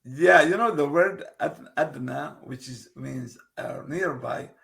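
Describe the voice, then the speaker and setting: A man with Kurdish as his first language talks slowly and haltingly, filling gaps with pauses. His voice is gravelly and sits fairly high. He speaks as an interview guest explaining texts. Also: fairly bright tone. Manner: halting, many pauses and fillers. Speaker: guest, translator. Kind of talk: casual interview conversation.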